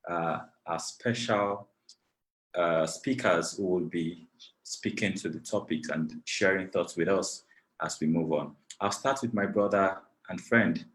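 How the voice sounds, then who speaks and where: Guy hosting a webinar, really low voice, formal and thankful. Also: a bit bright, poor audio. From Nigeria. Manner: gracious, thanks people at length. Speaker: webinar moderator. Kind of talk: formal online panel hosting.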